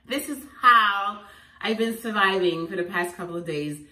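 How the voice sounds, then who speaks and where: A woman with a head cold, sounding congested, sharing home remedy tips in an animated, emphatic vlog at home. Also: mid-range voice, moderate pace. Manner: animated and emphatic, repeating phrases. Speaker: lifestyle vlogger. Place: at home indoors. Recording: echoey room, faint noise.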